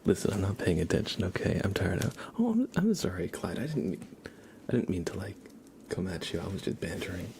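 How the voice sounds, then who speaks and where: A man hosting an ASMR livestream, chatting casually in a breathy whisper and soft voice, with hesitant, bantering asides. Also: deep voice, huge pitch swings, brisk, very noisy.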